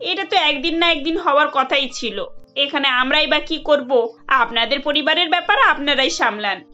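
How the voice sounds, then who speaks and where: A woman's voice: high voice, brisk, pushed and bright, muffled recording, faint music behind. A woman playing a blunt, disapproving cartoon relative. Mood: reproachful. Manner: blunt, reproachful.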